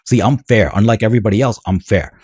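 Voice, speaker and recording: A man's voice: fairly low pitch, quick pace, darkish tone, slightly rough, dry recording, studio quality. An American man ranting bluntly and combatively in a commentary vlog. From United States. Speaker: political commentator.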